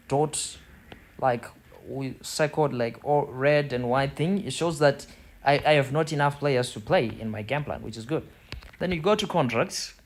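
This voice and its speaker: A man's voice: mid-range voice, a little hiss or hum. Zimbabwean man talking through a game tutorial. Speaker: gaming video host. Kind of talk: casual tutorial commentary over football game screen.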